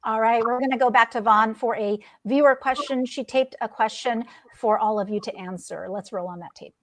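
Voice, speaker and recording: A woman hosting a business talk show, moderating a panel with a brisk, upbeat manner. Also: a little high. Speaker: host.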